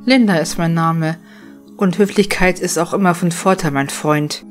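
Horror audio story: cold, bitter young woman, played by an actress, lower register. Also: moderate pace, lightly acted. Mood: cold, curt. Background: background music.

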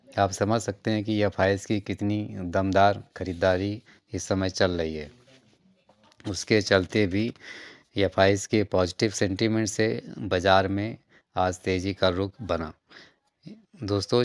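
A man's voice: on the low side, clean tone, no room sound, studio quality. A man narrating a stock market news vlog over slides, going through numbered reasons and calling the viewers friends.

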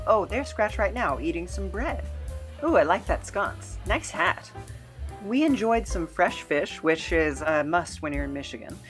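A woman in her thirties hosting in a chatty, playful way with theatrical enthusiasm. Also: mid-range voice. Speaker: video host. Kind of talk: chatty demonstration and travel narration.

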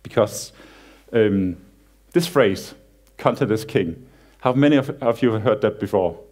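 A man in his thirties with a Danish accent, giving a relaxed conference talk in a cinema hall with dry jokes and halting restarts. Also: fairly low pitch. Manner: relaxed, self-deprecating humor with frequent halting restarts. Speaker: conference speaker. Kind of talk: conference talk, casual and joking. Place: large cinema hall on stage.

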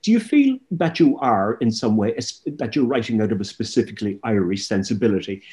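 A man's voice: lowish voice, animated, brisk, warm tone. A man in his sixties interviewing an author over a video call from home, asking long, searching questions and repeating words as he goes. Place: home room with pictures on the wall, indoors. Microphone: laptop webcam on a video call.

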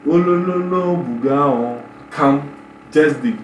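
Young male reaction vlogger, Nigerian Pidgin accent, excitable and stammering, echoey room with faint hum. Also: a little high, slow pace. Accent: Nigerian Pidgin English. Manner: excitable, exclaiming, stammering repeats. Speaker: YouTube reaction host. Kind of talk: animated casual reaction commentary in Pidgin. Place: small bedroom indoors.

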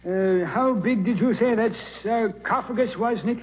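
Old noisy 40s radio show, a blunt grumbly cop, really high voice for a man. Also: a little slow. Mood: puzzled. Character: blunt, doubtful male police officer, detective's sidekick. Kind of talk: 1940s radio drama acting. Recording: muffled, faintly noisy 1940s radio recording.

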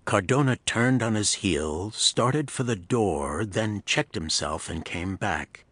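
Low-pitched male thriller narrator, dry sound. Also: animated, takes it slowly, a bit bright, slightly rough, no room sound. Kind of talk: scripted pulp thriller narration.

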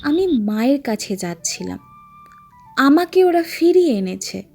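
A woman's voice: high voice, big pitch swings, measured pace, vocal fry, mellow, soft background music, heavily acted. A woman voicing a sick, homesick village boy in an audio story, plaintive and feeble. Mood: tearful protest.

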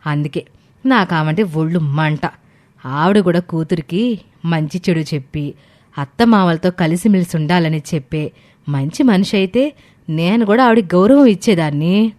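A woman acts out a domineering older mother-in-law in a moral tale. She is resentful and insistent, scolding in a slightly low voice. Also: moderate pace, darkish tone, lightly acted. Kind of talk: dramatised family moral story.

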